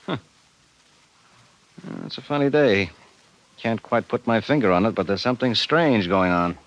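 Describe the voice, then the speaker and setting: An actor playing a jittery, bewildered ordinary office man in a 1950s radio drama, with an excitable, exclamatory manner. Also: lowish voice, moderate pace, dull audio, slight background noise, close to an everyday voice. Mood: puzzled.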